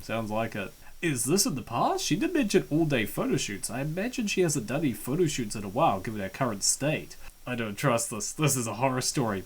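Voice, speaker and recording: Lively, casual woman giving joking commentary. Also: very deep voice, husky. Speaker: co-host reacting to a comic dub.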